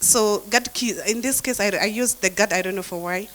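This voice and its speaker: Woman translator on a video call, soft and hesitant, plenty of fillers. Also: mid-range voice, a little fast. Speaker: workshop participant, translator. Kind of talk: casual discussion on a video call.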